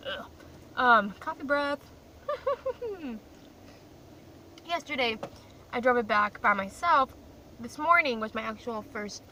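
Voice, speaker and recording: Young woman, from Croatia, bright and rather high voice, chatty and self-deprecating, phone held back in a parked car, faint background noise. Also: far from the mic, low-quality recording. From grew up in Croatia. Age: young adult. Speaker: vlogger. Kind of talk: casual chat to camera. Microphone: phone camera in a car.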